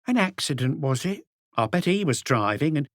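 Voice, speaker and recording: Brash plump café landlady, voiced by a male narrator, high and swooping, scornful gossip, dry close read. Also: very animated, normal speed, no room sound. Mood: surprised, chatty. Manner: chattering, scornful, indignant. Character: plump middle-aged woman café owner, brash gossip.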